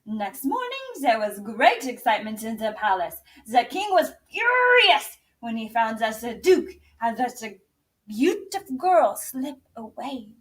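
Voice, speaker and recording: A woman reading a children's story aloud in an expressive, theatrical, deliberate way. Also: on the high side. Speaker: English teacher and drama director reading aloud.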